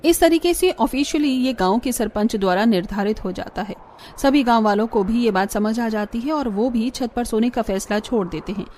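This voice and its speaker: A woman's voice: a little high, normal speed, a little mellow, a little hiss or hum. A woman giving scripted narration for a cartoon story.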